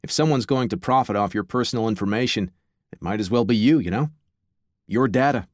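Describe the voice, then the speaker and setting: A man's voice: fairly low pitch, fairly quick, no room sound, clean studio sound. A man reading aloud from his own book on a podcast, with wry conversational asides.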